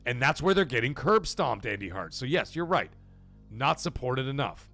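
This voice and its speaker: A man hosting a gaming livestream, reading super chats aloud and giving emphatic, profane opinions, swinging loud for donation shout-outs. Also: medium pitch, sing-song, normal speed, soft background music. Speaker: livestream host.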